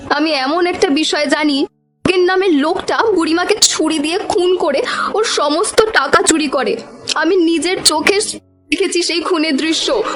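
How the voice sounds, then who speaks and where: A woman's voice: very high-pitched, fairly bright tone. A woman voicing an emotional, determined village wife in a melodramatic cartoon.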